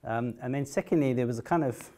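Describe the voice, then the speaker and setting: A man's voice: mid-range voice, fast talker, mellow. A middle-aged South African man with a South African accent, giving a hesitant conference talk as a patient, full of fillers and restarts, with a wry humor. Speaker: patient speaker.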